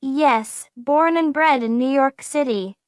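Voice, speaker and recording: Woman reading a high-voiced friendly speaker, slow careful delivery, dry close mic, beginner English lesson. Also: dry recording. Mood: plain. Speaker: dialogue partner in English practice. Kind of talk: slow scripted practice dialogue.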